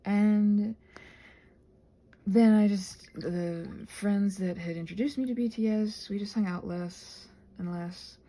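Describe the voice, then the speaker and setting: A soft-spoken woman in a casual, rambling chat while she crafts, meandering and interrupting herself as she murmurs along. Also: medium pitch, slow. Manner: meandering, digressive, self-interrupting. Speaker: craft vlogger and audio creator.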